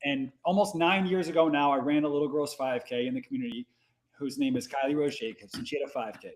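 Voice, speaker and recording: Fast-talking energetic man in his forties. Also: medium pitch, a little hiss or hum, rough recording. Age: 40s. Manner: energetic, playful, quick-talking. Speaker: guest, nonprofit founder. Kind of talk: casual podcast conversation.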